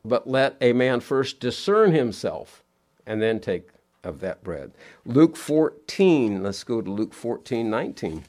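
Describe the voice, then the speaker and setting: A man's voice: medium pitch, big pitch swings, unhurried, a little mellow. A middle-aged man preaching a sermon, sounding nasal and sniffly from allergies, earnest and repeating his key words in plain homespun phrasing.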